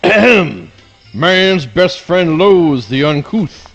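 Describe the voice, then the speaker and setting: A man's voice: fairly high pitch, sing-song, takes it slowly, fairly bright tone, lo-fi sound, soft background music, full character voice. A man playing a pompous, self-admiring hound dog who declaims in grand, genteel phrases in a comic radio play. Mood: lofty.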